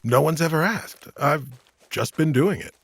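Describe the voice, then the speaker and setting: An actor playing a weary, kind monster-slaying hero in an audio drama, wry, candid and gently reassuring. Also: male voice, on the high side, lively intonation, measured pace, creaky, breathy edge, no echo, exaggerated voice. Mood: reflective.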